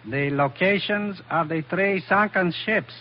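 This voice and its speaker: An actor playing a menacing foreign henchman with formal, deliberate politeness in a 1940s radio adventure. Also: sits high. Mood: cold, cruel. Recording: dull, noisy old radio recording.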